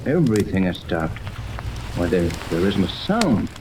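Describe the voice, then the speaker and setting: An actor playing a skeptical, take-charge yacht captain in a 1930s radio serial, blunt and commanding. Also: male voice, low voice, big pitch swings, a little slow. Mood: uneasy. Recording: noisy old 1930s radio recording.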